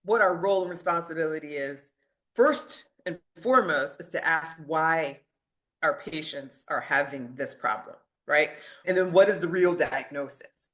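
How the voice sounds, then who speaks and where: A man with a fairly high, bright voice speaks as a physician guest on a podcast. He argues his points emphatically, often firing off rhetorical questions and breaking into short laughs between them. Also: moderate pace. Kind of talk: podcast discussion.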